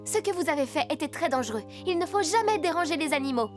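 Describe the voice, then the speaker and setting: Cartoon rescue heroine, very high voice, caring, instructive, reassuring, children's music behind. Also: female voice, lively intonation, fast talker. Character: caring female rescue vehicle, firm safety teacher.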